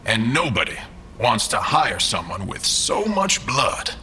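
A gloating male mastermind talks down to his hirelings in an audio drama. His voice is very bright and sharp, swinging widely in pitch, with theatrical mock courtesy and faint noise behind. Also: sing-song, a little slow, heavily acted. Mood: taunting. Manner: theatrical, falsely polite, chuckling condescension. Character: smug, mocking male mastermind employer as hologram. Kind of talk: scripted audio drama acting.

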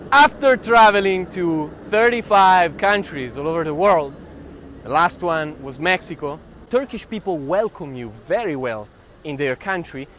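A man in his twenties with an Italian accent, talking to camera as a travel filmmaker in an animated, exclamatory way, often repeating phrases. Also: sits high. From Italian family, raised near Milan. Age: 20s. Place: outdoors in city squares and streets. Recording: clear urban street noise.

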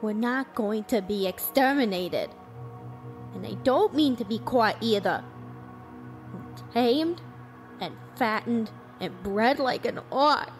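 A woman's voice: a little high, expressive, slow, faint music behind. A woman playing a cynical, defiant survivor of an alien invasion in a radio play, with blunt, folksy, clipped phrasing. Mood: defiant, scheming. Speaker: actor in an amateur radio drama.

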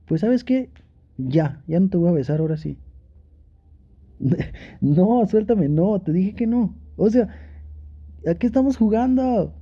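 A man's voice: sits high, expressive, takes it slowly, pauses often, warm tone, breathy edge, highs cut off, full character voice. A young man playing an affectionate, teasing, pouty boyfriend in an intimate ASMR roleplay, talking playfully and pleadingly with sweet pet names. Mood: annoyed, protesting. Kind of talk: Spanish ASMR boyfriend roleplay, intimate one-sided dialogue.

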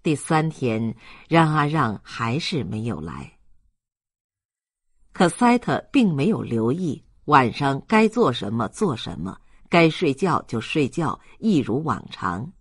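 Expressive, unhurried male audiobook narrator. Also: medium pitch, breathy edge, dry recording, clean studio sound. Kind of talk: expressive scripted literary narration.

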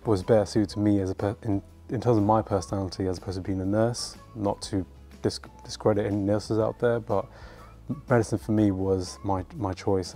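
A low-voiced man with a London accent speaks in a flat, steady line, his tone dark and mellow. He answers interview questions in careful, formal sentences. Soft music plays faintly underneath. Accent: London English. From United Kingdom. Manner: measured, articulate, formal wording. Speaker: medical student. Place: university lecture hall with red seats.

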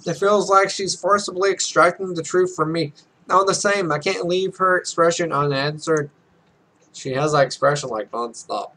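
Young male let's player, bright and slightly high voice, casually reads visual novel text with stumbles and offhand swearing. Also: moderate pace, rough recording. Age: young adult. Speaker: let's play host. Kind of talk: reading a visual novel aloud with casual commentary.

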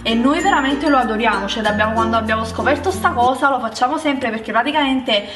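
A chatty, enthusiastic woman in her thirties talks through a recipe in her home kitchen, her voice sitting a little high. The kitchen gives the voice a roomy echo, and music plays loudly behind her. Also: fast. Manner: chatty and enthusiastic, repeats words for emphasis. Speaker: cooking channel host. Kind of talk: casual cooking vlog.

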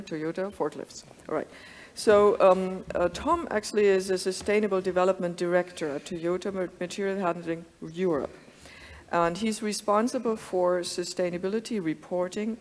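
A woman chairing a conference panel, moderating in an encouraging, conversational way with some fillers. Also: on the low side. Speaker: session chair. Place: large conference hall. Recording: long hall reverb.

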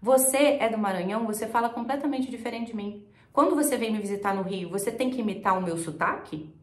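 A woman's voice: mid-range voice, fast, roomy sound. A young woman from Rio de Janeiro with a Carioca accent, teaching an online lesson straight to camera and pressing her points with rhetorical questions. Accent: Carioca Brazilian Portuguese. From Rio de Janeiro, Brazil. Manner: emphatic, talks straight to the viewer with rhetorical questions. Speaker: English teacher. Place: small indoor room with plants and shelves.

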